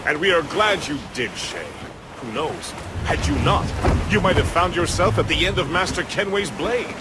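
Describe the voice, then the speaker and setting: A man performing a jovial gentleman first mate in a period video game. His voice is fairly high and swoops freely, florid and wry, over clear waves and ship noise. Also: animated, normal speed, close to an everyday voice. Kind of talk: theatrical period game cutscene acting.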